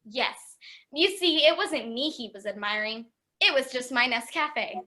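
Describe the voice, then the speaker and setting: Woman playing a bright, high-voiced confiding housewife. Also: lively intonation, measured pace, heavily acted. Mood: confiding, smug. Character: confiding, self-assured housewife friend, sweetly patronizing. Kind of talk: 1950s-style radio commercial acting.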